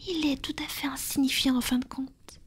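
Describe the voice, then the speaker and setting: A woman voicing a tender, affectionate female vampire in a soft, breathy, intimate whisper for an ASMR roleplay. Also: high-pitched, steady tone, normal speed, natural voice. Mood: calm, confident.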